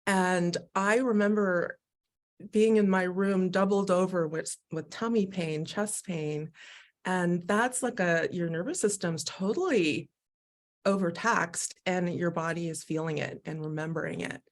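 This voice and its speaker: Expressive lower-voiced woman sharing candidly. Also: unhurried. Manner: animated and expressive, laughing through her stories. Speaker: guest, Human Design educator. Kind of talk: candid personal conversation.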